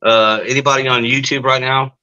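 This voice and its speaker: A man's voice: mid-range voice, steady tone, brisk, pushed and bright, clean tone, highs cut off. A man hosting a live-stream testimony show, chatting casually with his guest and viewers, with lots of fillers.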